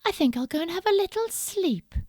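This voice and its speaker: A woman voicing Goldilocks, a small girl in a storybook tale, with a high breathy little-girl voice. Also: lively intonation, brisk, a little mellow, dry recording, studio quality. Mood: whiny.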